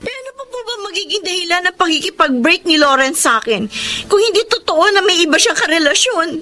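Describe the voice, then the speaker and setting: A woman's voice: very high-pitched, very animated, brisk, sharp tone, exaggerated voice. An actress playing a hurt, angry young jilted woman in a melodramatic Filipino radio drama. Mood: hurt, tearful.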